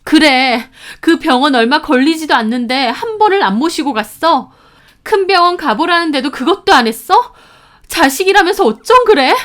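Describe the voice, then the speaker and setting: An outraged younger sister in a story drama , acted out by a woman narrator. Her voice is high and loud, clear but accusing, with reproachful, distraught delivery. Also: animated, normal speed, few pauses. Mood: furious, accusing. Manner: shouting, reproachful.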